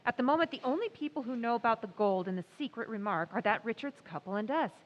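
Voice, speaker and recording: An actress playing a sharp, scheming, middle-aged wife of a newspaper editor in an old-time radio drama. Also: female voice, on the high side, expressive, in a large hall, lo-fi sound, lightly acted. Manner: brisk, scheming, disdainful. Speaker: actor in radio play.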